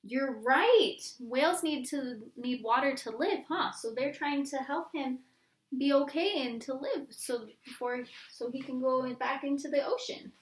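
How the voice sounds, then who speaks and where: A woman reading a picture book aloud to young children in an expressive, sing-song way, pausing to put simple questions to her listeners. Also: sits high, echoey room, rough recording.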